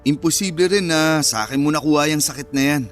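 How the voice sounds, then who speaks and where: A cocky young ex-boyfriend who guards his pride, acted by a man. His voice is fairly high for a male, in radio drama style with music underneath. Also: fairly quick, lightly acted. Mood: defensive, dismissive. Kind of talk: Filipino radio drama acting. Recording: music bed under the dialogue.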